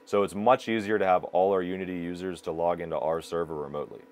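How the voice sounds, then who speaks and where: Deep-voiced man explaining gear. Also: fairly even intonation, quick pace. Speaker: video production company presenter. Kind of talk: explanatory product review to camera. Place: small indoor broadcast control room.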